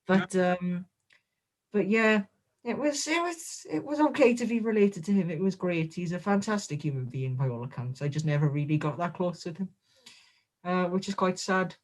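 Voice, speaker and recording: A man giving a comedic, in-character interview as a guest wrestler, deadpan, boastful and absurd. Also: high voice, moderate pace.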